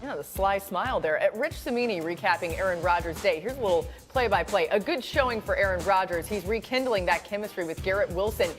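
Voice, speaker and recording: A woman hosting a sports talk show in a lively, playful way, reading recaps and throwing to analysts. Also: medium pitch, brisk, hardly any pauses, faint music behind. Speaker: host. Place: TV studio.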